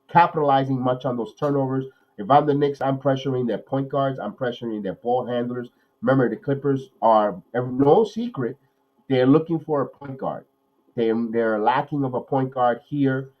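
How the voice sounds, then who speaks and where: A man hosting a live watch-along podcast, nasal from a cold, calling plays excitedly and then talking analysis with many repeats. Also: mid-range voice, normal speed, dull audio. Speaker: podcast host.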